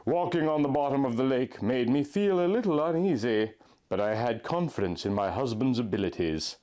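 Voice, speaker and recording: A man reading fan fiction aloud in a deadpan, overly earnest storybook manner. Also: mid-range voice, normal speed. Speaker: comic reader of fan fiction. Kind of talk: mock-dramatic reading aloud.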